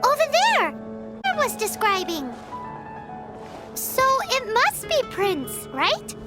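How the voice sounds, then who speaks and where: An excitable little fairy companion, extremely high and childlike. The delivery is perky and swings widely in pitch, in anime game style, with clear music behind it. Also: female voice, takes it slowly, full character voice. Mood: eager. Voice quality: squeaky, childlike. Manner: refers to self in third person, perky. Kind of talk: anime game character acting.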